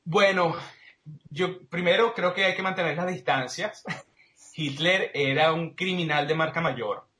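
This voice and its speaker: Male interview guest, Venezuelan accent, slightly high voice, analytical and explanatory, recorded with marked room echo. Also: a little slow, sharp tone. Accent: Venezuelan Spanish. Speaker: interview guest, political commentator.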